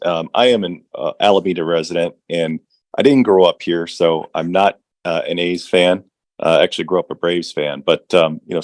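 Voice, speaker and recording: A man's voice: deep voice, dry recording. A man calling in to a public meeting as a local resident, speaking conversationally and often saying "you know". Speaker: resident caller at public comment. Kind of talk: casual spoken public comment. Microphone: remote call audio.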